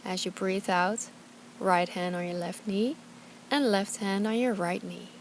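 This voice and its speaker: A woman leads a guided yoga practice in a gentle, soothing manner. Her voice sits at an average pitch, and she gives short, calm cues. There is some ambient noise behind her. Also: unhurried. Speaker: yoga and meditation teacher.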